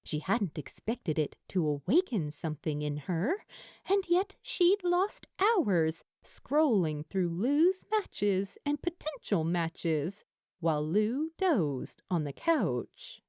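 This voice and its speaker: Woman reading fiction like an audiobook, takes her time, pitch moves around a lot, really dry recording. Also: wildly swooping pitch, slow pace, a little mellow, dry recording. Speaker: fan fiction narrator. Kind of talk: expressive scripted audiobook narration.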